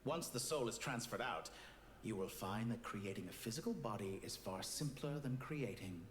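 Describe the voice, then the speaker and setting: Game villain: arrogant male scientist, voiced by a man, lowish pitch, swooping intonation, cool and condescending. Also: animated, roomy sound, slight background noise, close to an everyday voice. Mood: calm, calculating. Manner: measured, condescending, philosophical. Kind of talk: scripted video game dialogue.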